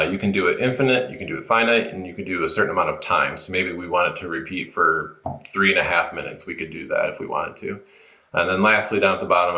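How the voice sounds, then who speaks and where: A man's voice: low voice, bright, forceful tone. A man presenting an informal, unscripted app walkthrough, explaining each step as he goes in a roomy space. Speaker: product tutorial presenter.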